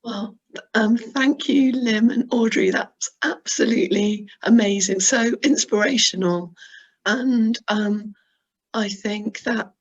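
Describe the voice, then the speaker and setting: A woman hosting an online church meeting on a video call, appreciative in tone and croaky from losing her voice. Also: fairly high pitch, slow pace, highs cut off. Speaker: meeting host.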